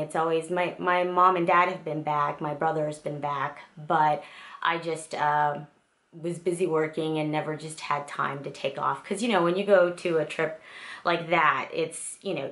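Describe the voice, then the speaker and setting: A woman in her thirties, born in South Korea and raised in the States, talks to her camera in a low, easy voice. She rambles through a get-to-know-me vlog, full of ums and restarts. She records in her husband's music studio at home. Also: a bit bright. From South Korea, raised in the United States. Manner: rambling and chatty, many fillers and restarts. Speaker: vlogger. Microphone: camera in front of her.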